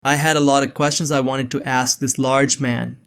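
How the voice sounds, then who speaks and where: A man's voice: medium pitch, little pitch movement, moderate pace, fairly bright tone, clean tone. A young man giving earnest, scripted first-person narration of a suspense story. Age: young adult.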